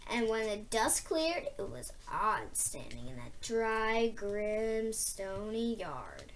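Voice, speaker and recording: A woman reading a children's novel aloud expressively, with deliberate, dramatic emphasis. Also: mid-range voice, wildly swooping pitch. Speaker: storybook reader.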